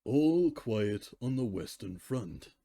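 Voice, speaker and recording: A man reads as a smug pony princess in a storybook tale, gleefully menacing and unhurried. The voice is mellow and closely recorded, with very little room around it. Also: on the low side, big pitch swings, creaky, no room sound, heavily acted. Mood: breezy, cheery.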